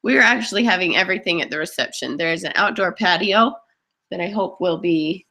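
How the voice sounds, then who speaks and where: A woman's voice: lowish voice, expressive, brisk, bright, forceful tone. A woman in her mid-twenties co-hosting a casual live Q&A, reading out viewer questions in a chatty, teasing way. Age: 20s.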